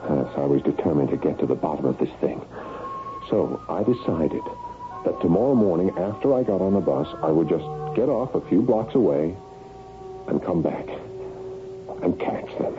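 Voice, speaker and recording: A man's voice: sits low, animated, moderate pace, muffled recording. An actor playing a meek, nervous, suspicious husband in a radio drama, telling his own story and hesitant in speech. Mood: suspicious, brooding. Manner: stammering, hesitant when addressed. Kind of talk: old-time radio drama acting, first-person narration. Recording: band-limited radio broadcast with music behind.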